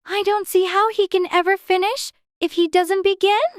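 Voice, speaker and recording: A curious, well-mannered little storybook girl, very high and prim. She wonders aloud with a faint indignation, in a dry, close recording. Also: steady tone, moderate pace, no echo. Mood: indignant musing. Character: young girl, polite, curious, slightly indignant. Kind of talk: storybook character voice.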